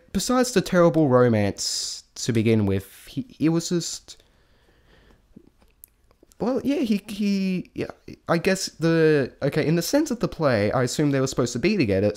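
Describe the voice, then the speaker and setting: Halting, rambling man reviewing casually. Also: medium pitch, darkish tone. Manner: halting, rambling, often trailing off and restarting. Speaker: vlogger reviewing a stage show.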